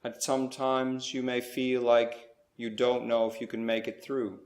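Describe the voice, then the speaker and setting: A man leading a slow guided spiritual meditation, speaking in measured short phrases with pauses between them. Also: medium pitch, completely flat delivery, darkish tone, echoey room.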